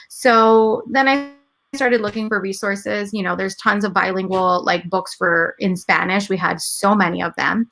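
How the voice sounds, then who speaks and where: A woman in her thirties whose first language is Gujarati talks in a relaxed interview, acting out her own inner thoughts as she goes. Her voice sits at a middle pitch with plenty of vocal fry. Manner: animated storytelling, quoting her own thoughts. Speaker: guest, entrepreneur and mother. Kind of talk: casual interview conversation.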